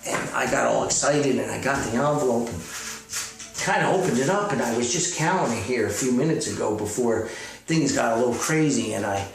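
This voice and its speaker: Echoey, animated man rambling in folksy monologue. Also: medium pitch, a little fast, vocal fry, distant mic. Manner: folksy, mock self-important, hesitant and digressive. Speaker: author hosting his own comedic podcast. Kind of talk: rambling casual comic monologue with phone bit. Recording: echoey room, off mic, music.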